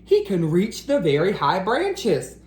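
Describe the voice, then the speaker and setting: A woman's voice: medium pitch, wildly swooping pitch, slow, darkish tone, reverberant. A woman voicing enthusiastic young cat pupils in a picture book, exclaiming with admiration. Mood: delighted.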